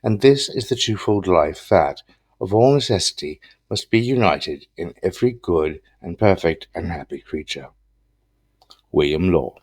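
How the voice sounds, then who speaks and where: A man reciting philosophical quotations from a script in a solemn, deliberate manner. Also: low-pitched, lively intonation, darkish tone, husky, no echo. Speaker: reader of quotations.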